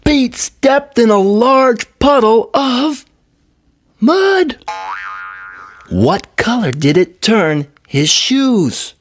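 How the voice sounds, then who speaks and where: A woman's voice: a little high, slight background noise. A woman reading a children's story aloud expressively, drawing out her words and putting questions to young listeners. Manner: drawn-out, expressive delivery. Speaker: story reader.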